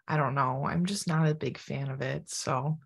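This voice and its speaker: A woman's voice: extremely low pitch, steady tone, moderate pace, gravelly, breathy edge. A young woman hosting a casual podcast and guiding the interview, easygoing and hedging her words often. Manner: easygoing, hedging with "kinda" and "I feel like".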